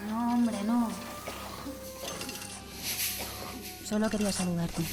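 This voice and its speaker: A woman's voice: medium pitch, brisk, natural voice. An actress playing a fiery young factory worker in an audio drama, impassioned and speechifying, with music behind her. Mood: earnest, conciliatory. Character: fiery young female factory worker preaching republican revolt.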